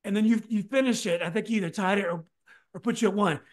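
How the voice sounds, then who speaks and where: Middle-aged man hosting, halting questions. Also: sits high. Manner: halting, restarts questions often. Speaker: podcast host. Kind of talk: casual interview over video call. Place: home study with bookshelves. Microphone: desk mic on video call.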